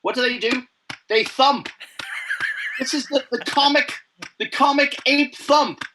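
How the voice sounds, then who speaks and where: A man being interviewed over a video call. He talks in a playful, animated way with many restarts. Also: very high voice, unhurried, background noise. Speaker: guest, presenter coach. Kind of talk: remote interview chat.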